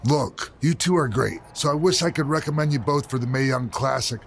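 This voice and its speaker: Guy doing easygoing older-hand wrestler in a game, casual and straight talking, average pitch, slight background noise. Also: a little fast, creaky, close to an everyday voice. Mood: frank, sympathetic. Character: laid-back veteran male wrestler turned talent scout. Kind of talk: scripted game cutscene dialogue.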